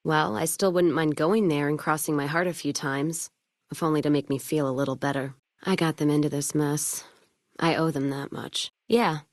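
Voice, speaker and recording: A woman's voice: low voice, steady tone, normal speed, dry recording, clean studio sound. A woman playing a tough, foul-mouthed, vengeful caravan trader in a video game, talking in a blunt, folksy, wry way. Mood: somber, guilty, then wry, easygoing. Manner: blunt, folksy, wry drawl of plain talk. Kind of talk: video game dialogue acting.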